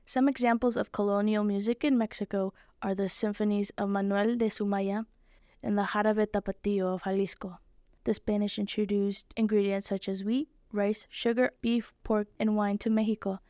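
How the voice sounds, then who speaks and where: A teenage girl reading a prepared narration in a steady, even way. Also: mid-range voice, moderate pace, no echo, studio quality. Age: teens. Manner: steady, evenly paced reading. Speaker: student presenter. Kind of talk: read narration.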